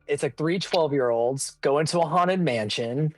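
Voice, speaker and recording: A man's voice: a little high, moderate pace, faint music behind. A man playing a tabletop game, chatting casually in a rambling way peppered with "like". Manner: rambling, frequent "like". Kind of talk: casual chat among players.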